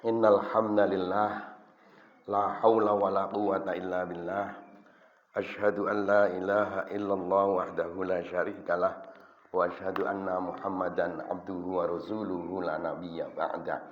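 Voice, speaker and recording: Deep-voiced man, echoey prayer room. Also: level tone. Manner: measured, halting delivery with frequent pauses. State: has a cough and cold. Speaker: religious teacher. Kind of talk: Islamic study sermon. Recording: echoey room, dull lo-fi sound, faint noise.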